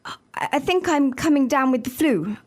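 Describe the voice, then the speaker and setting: A woman's voice: high voice, lively intonation, moderate pace. A woman playing a meek, apologetic employee calling in sick, speaking hesitantly in a scripted lesson dialogue. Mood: meek, sickly. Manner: hesitant, coughing and throat-clearing.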